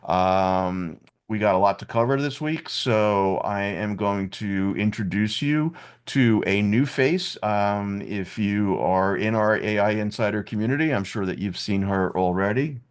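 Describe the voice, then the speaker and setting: A man with a hoarse, cold-roughened voice hosting a live online Q&A call over video, talking in a relaxed, chatty way with frequent filler sounds. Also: fairly low pitch, a little slow.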